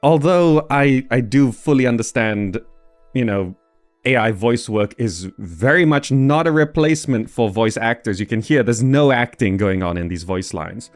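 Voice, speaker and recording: A man's voice: medium pitch, lively intonation, normal speed, faint music behind. A man hosting a gaming video with casual, hesitant commentary, thinking aloud as he plays. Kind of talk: casual game playthrough commentary.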